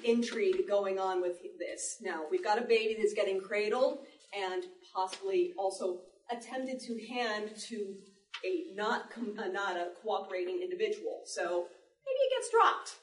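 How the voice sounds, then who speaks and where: A woman's voice: mid-range voice, animated, a little slow. A woman giving an informal live demonstration as a panel presenter, chatty and explanatory. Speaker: panel presenter, sound effects artist. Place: live panel in a public library room. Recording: echoey room, distant mic, background noise.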